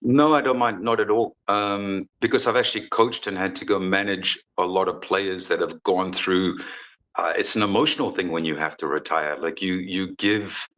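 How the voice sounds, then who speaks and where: A man's voice: low-pitched, steady tone, moderate pace, a bit bright, poor audio. A man in his forties from South Africa, a football coach answering interview questions in an earnest, frank way with many restarts.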